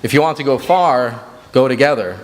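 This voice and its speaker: Man, grew up in Sri Lanka, earnest speech at a community banquet, mid-pitched, long hall reverb. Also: moderate pace. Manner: earnest, with frequent fillers and restarts. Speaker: executive director.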